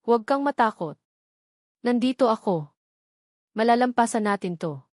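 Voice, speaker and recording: A woman voicing a guarded, wounded man who speaks with calm firmness in a romantic audio drama. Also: a little high, normal speed, dry recording, clean studio sound, lightly acted. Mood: reassuring. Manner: calm, firm, brooding. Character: guarded, wounded but reassuring island man.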